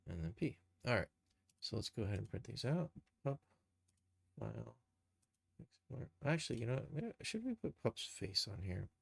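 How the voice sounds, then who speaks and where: A man giving an informal live software tutorial, thinking aloud and muttering steps to himself as he works. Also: medium pitch, huge pitch swings, moderate pace, vocal fry, long pauses, slightly rough, no room sound. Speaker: live streamer, game and cartoon developer.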